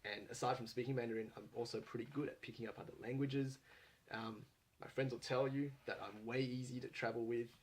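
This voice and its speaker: A man in his late twenties tells his own travel adventures in a casual video pitch. He speaks at a middle pitch, earnest and friendly, often pausing on fillers and restarting his sentences. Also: fairly even intonation, rough recording. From Australia. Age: 20s. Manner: earnest and friendly, with frequent fillers and self-corrections. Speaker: applicant pitching himself as a travel host.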